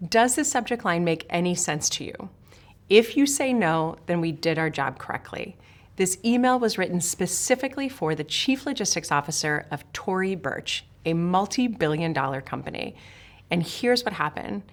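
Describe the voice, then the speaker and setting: A woman's voice: fairly low pitch, animated, normal speed. A woman from Switzerland, a sales trainer, giving a scripted lesson straight to the viewer in a direct, emphatic way. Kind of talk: scripted instructional talk to camera.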